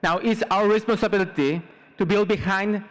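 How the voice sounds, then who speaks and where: A young man in his twenties from Mexico, giving a formal prepared commencement speech as a student, in measured short phrases. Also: high voice. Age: 20s. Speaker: student salutatorian.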